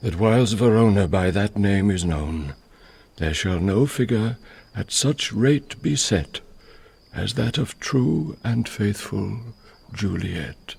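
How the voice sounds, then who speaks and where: A man's voice: lowish voice, animated, takes it slowly, vocal fry, darkish tone, a little hiss or hum, full character voice. A man playing a bereaved, conciliatory older nobleman in a classical radio drama, speaking solemn verse.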